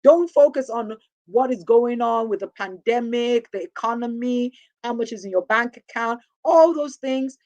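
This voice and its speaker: A woman hosting a motivational talk show, her voice husky and hoarse from a sore throat, speaking in an upbeat, encouraging, preacher-like way. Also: a little high, normal speed, darkish tone, dull audio. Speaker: host.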